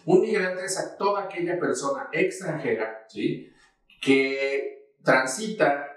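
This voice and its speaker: Male host explaining legal terms, mid-pitched, heard from a distance in a roomy space. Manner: direct address, rhetorical questions. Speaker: show host. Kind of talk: explaining the law to viewers. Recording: echoey, distant from the mic.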